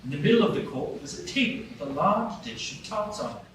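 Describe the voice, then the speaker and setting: A man narrating a live radio play in a measured storytelling manner. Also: high-pitched, sing-song, a bit bright. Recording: echoey live stage from far off, with noise.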